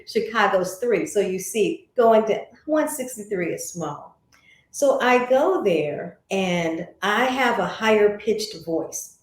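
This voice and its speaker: A woman's voice: medium pitch, a little mellow. American female interview guest, conversational storyteller, middle-register voice, recorded in a roomy, echoey space. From St. Louis, United States. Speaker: interview guest, former broadcast journalist. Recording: echoey room.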